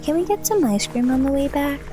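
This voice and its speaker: A woman's voice: sits high, lively intonation, fairly quick. A woman playing a curious, cheeky young daughter of a soldier in an audio drama, inquisitive and teasing. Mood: playful. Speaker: voice actor. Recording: faint music behind the voice.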